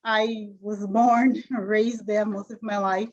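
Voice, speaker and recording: Halting Bahamian woman, lo-fi sound. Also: medium pitch, little pitch movement. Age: middle-aged. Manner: halting, many fillers and restarts. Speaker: interview guest, retired sprinter. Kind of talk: casual interview answers.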